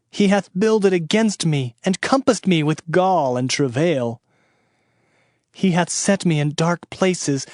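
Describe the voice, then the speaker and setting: A man narrating scripture in a solemn, measured, dramatized reading. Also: a little high, no echo, studio quality. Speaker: Bible narrator. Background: background music.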